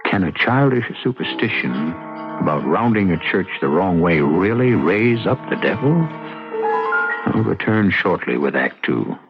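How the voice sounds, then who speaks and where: A deep-voiced man narrates as host of a radio mystery. His delivery is theatrical and portentous, with wide melodic swings. The sound is that of a dull old broadcast. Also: big pitch swings, unhurried, over music. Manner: dramatic, portentous, rhetorical.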